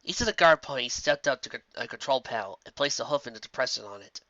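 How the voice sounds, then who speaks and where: An amateur narrator reading scripted fantasy fan fiction in an expressive storytelling manner. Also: medium pitch, quick pace, no room sound, highs cut off.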